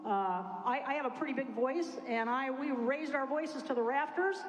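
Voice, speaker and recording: High-pitched older iowa lady telling a story at a panel, echoey room. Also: normal speed, faint music behind. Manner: animated storyteller, acts out quotes. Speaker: panel speaker. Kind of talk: personal story at a public event. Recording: echoey hall with long reverb.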